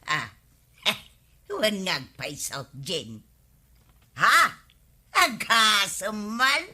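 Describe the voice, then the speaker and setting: A woman playing a teasing, gossipy villager in an Ilocano comedy radio drama, with drawn-out exclamations. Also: medium pitch, wildly swooping pitch, sharp tone, exaggerated voice. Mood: teasing, amused.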